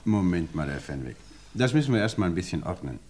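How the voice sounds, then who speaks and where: An actor playing a calm, pipe-smoking police inspector in a 1950s radio play, dry and unhurried. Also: male voice, low voice. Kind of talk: 1950s radio drama acting. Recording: old mono radio play recording.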